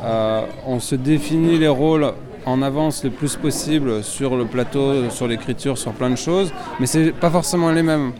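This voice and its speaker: A man's voice: medium pitch, moderate pace. A French man, a filmmaker, answering interview questions outdoors, hesitant and full of fillers. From France. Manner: hesitant, many fillers and restarts. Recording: loud crowd behind. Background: crowd noise.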